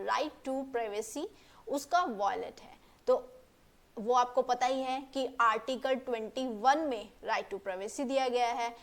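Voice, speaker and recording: A woman presenting an informal explainer lesson, rephrasing points as she goes and mixing English legal terms into her speech. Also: high-pitched.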